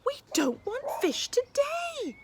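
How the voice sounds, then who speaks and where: Woman doing a stubborn shouty little boy. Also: very high voice, huge pitch swings, slow, slight background noise, voice pushed up, full character voice. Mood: defiant. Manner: defiant, shouty.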